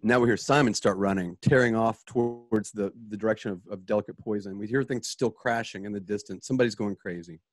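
A man with a lowish voice narrates a radio play's scene and sound cues. His delivery is casual and improvised, and the sound is dry and close. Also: brisk, few pauses, no echo. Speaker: narrator and director.

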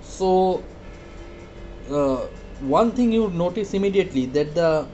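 Male product reviewer, fairly high voice, informal step-by-step demo style, halting delivery with repeated words, faint music in background. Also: animated, warm tone, lo-fi sound. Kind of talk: casual product demonstration.

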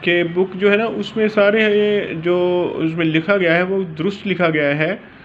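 A man with a Pakistani accent giving an informal lesson as a teacher, reading out and explaining in a halting way. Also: high voice, fairly even intonation, lo-fi sound, a little hiss or hum. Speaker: law teacher.

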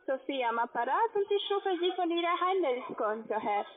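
A woman with a foreign accent, non-native in German, giving a telephone sales pitch. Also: animated, moderate pace. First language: not German. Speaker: call-centre caller selling trading accounts. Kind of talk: sales pitch on a phone call. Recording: tinny narrowband phone line.

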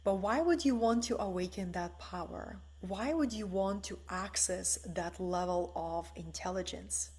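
Slow, soft-spoken woman from the former Soviet Union. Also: medium pitch, slightly rough. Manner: slow, soft and meditative, often asking "right?". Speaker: spiritual teacher. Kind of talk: live-streamed spiritual talk. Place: bright living room at home, indoors.

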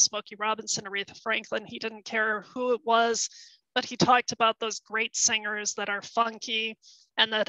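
A middle-aged woman presents historical research in an online talk, explaining carefully with frequent ums and small restarts. Her voice is bright and slightly high, and it is starting to give out. The sound is close and dry, through a headset at home. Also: no echo, poor audio. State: losing her voice. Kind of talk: webinar presentation.

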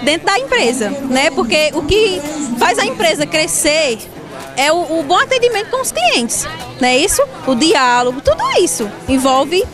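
Girl in her 20s, casual interview, bit hesitant, high voice, music playing. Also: sing-song, normal speed. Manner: hesitant, frequent confirming tags. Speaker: photography business representative being interviewed. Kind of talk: casual promotional interview answers. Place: outdoor evening fair tent. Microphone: handheld reporter microphone.

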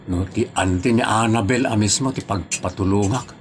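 A man's voice: lowish voice, moderate pace, soft background music, lightly acted. A man playing a worried young husband in a comedy drama, questioning insistently with an Ilocano accent. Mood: accusing.

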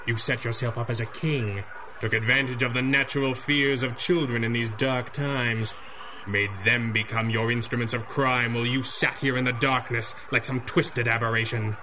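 An actor playing a righteous, dry-witted masked superhero with formal, theatrical diction in a 1930s-style radio drama. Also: male voice, mid-range voice, steady tone, normal speed, noisy background, close to an everyday voice. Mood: stern, contemptuous.

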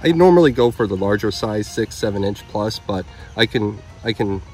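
Man, thirties, from Illinois, detailed, matter-of-fact, outdoors, low voice. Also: animated, moderate pace, a little mellow, soft background music. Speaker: flower breeder. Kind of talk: casual interview about flowers. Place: outdoors in a garden.

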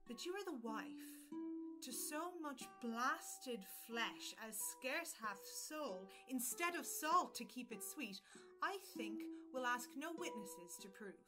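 A bold, silver-tongued gallant acted by a woman pays court in an audio play of early modern verse. The voice is high and the delivery measured and theatrical. Plucked guitar music plays behind it. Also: expressive. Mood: persuasive, scornful. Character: bold, eloquent young gallant wooing a married lady.

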